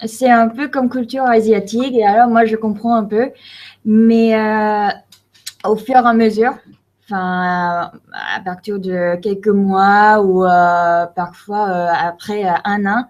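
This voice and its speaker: A woman's voice: medium pitch, fairly even intonation, unhurried, a little mellow. A woman in her twenties whose first language is Korean, a university student speaking French in a casual video message. She talks hesitantly, with many fillers, searching for words.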